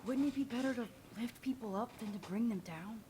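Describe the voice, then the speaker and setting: A woman playing an earnest, quietly brave teenage girl in a video game, speaking softly and sincerely with a hushed delivery. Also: fairly high pitch, brisk, background noise, lightly acted. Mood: earnest, gentle. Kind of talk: video game voice acting, soft introspective delivery.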